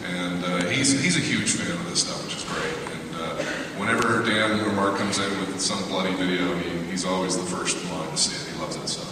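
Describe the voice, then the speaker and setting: Man, low voice, relaxed rambling panel talk, distant mic, big echoey hall. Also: fairly quick, hardly any pauses, background noise. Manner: relaxed, rambling, many fillers. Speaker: makeup effects panelist. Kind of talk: casual panel presentation. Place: large convention hall. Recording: echoey hall recorded from far off.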